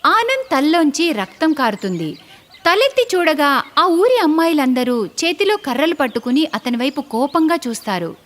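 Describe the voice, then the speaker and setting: A woman's voice: sits high, sing-song, normal speed, a bit bright. A woman narrating a scripted story in a dramatic storytelling style.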